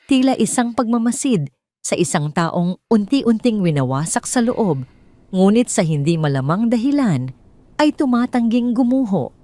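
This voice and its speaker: A woman's voice: mid-range voice, sing-song, normal speed, dark tone, no room sound. A woman with a Filipino accent reading a scripted dramatic story as its narrator.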